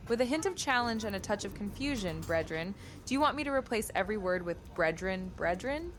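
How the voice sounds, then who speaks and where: A woman's voice: medium pitch, animated, a little fast, slight background noise. A woman's voice reading chat requests and stiff, formal replies, repeating the same odd word of address. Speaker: chat-command voice reading messages.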